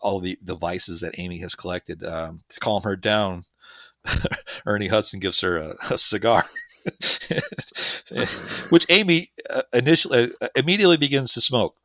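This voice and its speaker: A man's voice: on the low side, animated, unhurried, fairly bright tone, no echo, clean studio sound. A man co-hosting a podcast, recapping a movie in casual, jokey chat with sarcastic asides. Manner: jokey, sarcastic, chuckling often. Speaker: podcast co-host.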